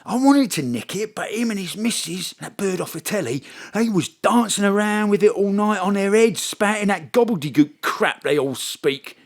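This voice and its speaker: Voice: medium pitch, animated, normal speed, few pauses, natural voice. An actor playing a cocky, uncouth male thief in a comic audio drama, with a British accent and slangy, blustering talk. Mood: indignant, gossipy. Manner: colloquial, blustering, ungrammatical.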